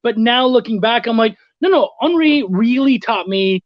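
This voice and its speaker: A man telling anecdotes as a guest in a casual chat, animated and swearing often. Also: extremely high pitch, normal speed, no room sound, lo-fi sound. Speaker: guest, freelance writer and editor.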